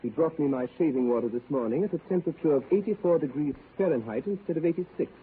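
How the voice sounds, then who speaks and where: Cold, precise gentleman in a vintage radio drama, played by a man, measured and crisp, tinny broadcast hiss. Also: on the high side, lively intonation, natural voice. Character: precise, cold English gentleman of fixed habits. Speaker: actor in a radio play. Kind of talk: 1930s radio acting.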